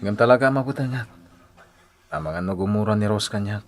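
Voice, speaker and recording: A man's voice: on the low side, fairly quick, lightly acted. An actor playing an earnest, hesitant young man in a Filipino radio drama, speaking with an Ilocano accent. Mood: worried. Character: earnest, hesitant young man shy about courting his friend. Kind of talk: Philippine radio drama acting.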